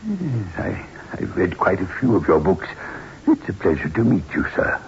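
An actor playing a superstitious, courteous old widower in a 1960s radio horror drama, speaking gravely and hesitantly. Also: male voice, deep voice, wildly swooping pitch, moderate pace. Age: older. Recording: dull, noisy old radio recording.

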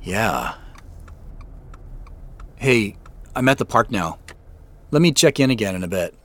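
Husky, hesitant, subdued man. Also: lowish voice, expressive, a bit bright. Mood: uneasy. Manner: hesitant, understated, halting. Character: uncertain, awkward, subdued man returning to his past. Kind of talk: naturalistic audio drama dialogue.

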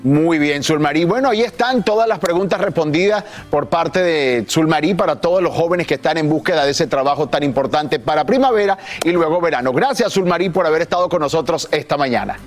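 A man's voice: fairly high pitch, animated, a little fast, hardly any pauses, soft background music. A man hosting a live morning television interview, moving between questions and guests. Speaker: morning TV show host.

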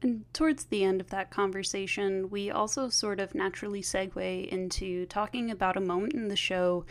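A woman's voice: mid-range voice, steady tone, normal speed. A woman hosting a podcast in a casual, conversational way, phrasing things a little tentatively. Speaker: podcast host.

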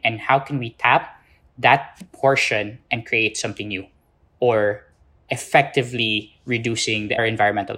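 A man's voice: mid-range voice, a bit bright. A man from the Philippines giving explanatory answers as an interview guest. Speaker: interview guest, social entrepreneur.

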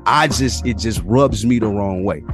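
A man's voice: fairly low pitch, normal speed, faint music behind. A man co-hosting a podcast in casual chat, talking loosely with many restarts and some profanity. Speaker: podcast co-host.

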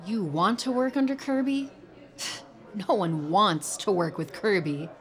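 A woman's voice: mid-range voice, animated, unhurried, close to an everyday voice. A woman playing a dry, sardonic surveillance agent in an audio drama.

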